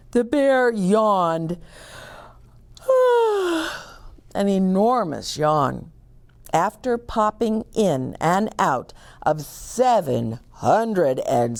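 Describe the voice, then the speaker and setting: Slow, expressive woman reading to kids. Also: on the low side, creaky. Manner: expressive, explains words to children. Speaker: children's story reader. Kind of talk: reading a picture book aloud.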